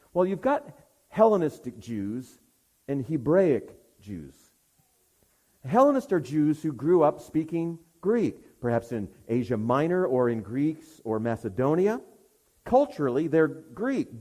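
Man, preacher, sermon delivery, emphatic, highly animated intonation, mid-pitched voice, deliberate pauses. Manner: emphatic, rhetorical questions, dramatic pauses. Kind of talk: sermon, expository Bible preaching.

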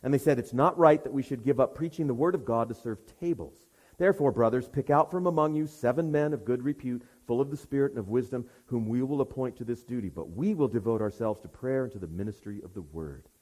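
A man preaching an expository sermon in an emphatic manner, with rhetorical questions and deliberate pauses for weight. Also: mid-range voice, a little mellow.

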